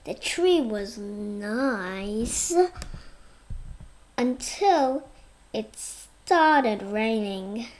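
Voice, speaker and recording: A child reading a storybook aloud, careful and expressive, word by word. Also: mid-range voice, extremely slow, poor audio. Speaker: reader.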